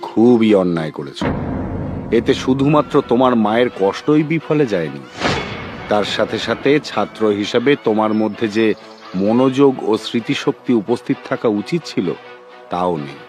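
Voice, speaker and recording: An actor playing a strict, principled guru in a cartoon, lecturing in a formal, measured and stern manner. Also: male voice, fairly low pitch, animated, close to an everyday voice. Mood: reproving. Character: strict, principled old male guru of a forest school. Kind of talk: dubbed cartoon acting. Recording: music behind the voice.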